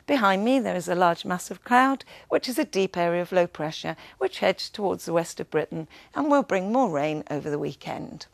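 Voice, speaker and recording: A woman's voice: lowish voice, gravelly, no echo. A woman presenting a scripted television weather forecast.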